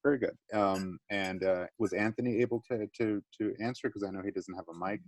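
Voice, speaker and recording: A man's voice: fairly low pitch, a little fast, no echo. A man teacher leading a small online class discussion, encouraging students and checking in often. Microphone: video call.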